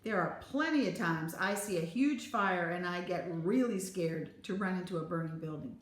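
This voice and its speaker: A woman's voice: lowish voice, normal speed, lightly acted. A woman reading a storybook, voicing a kind, reassuring male fire captain with an encouraging manner. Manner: encouraging, calm. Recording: roomy echo.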